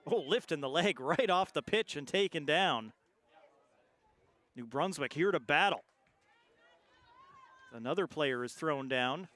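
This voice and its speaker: Higher-voiced male play-by-play sports commentator. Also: lively intonation, measured pace, pauses often, fairly bright tone, some chatter behind.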